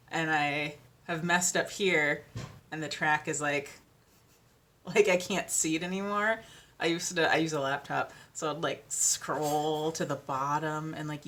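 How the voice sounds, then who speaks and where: A young woman with a deeper voice, chatting casually and self-deprecatingly about narrating audiobooks, full of ums and little laughs. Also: fairly bright tone, a little hiss or hum. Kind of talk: casual chat.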